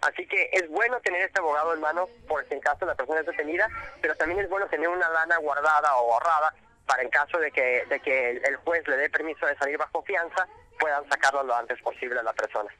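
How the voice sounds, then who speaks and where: Man explaining slowly with many fillers. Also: a little high, pushed and bright, low-quality recording. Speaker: immigration rights guest. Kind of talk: radio interview answers.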